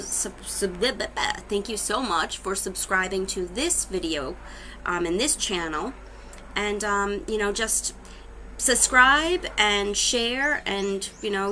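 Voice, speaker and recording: A talkative woman in her thirties with a Boston accent talks straight to the camera. Her voice is bright and forward, pitched in the middle range. She rambles easily and fills pauses with plenty of ums. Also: slight background noise, poor audio. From Massachusetts, USA. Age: 30s. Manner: chatty, rambling with many ums. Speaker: vlogger introducing her channel. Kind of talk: casual talk to camera.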